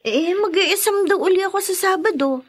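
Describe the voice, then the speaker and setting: A woman's voice: very high voice, normal speed, no echo, studio quality. A woman playing a dutiful, weary fifteen-year-old girl in a radio drama, caring but plain-spoken and reproachful. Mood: casual, resigned.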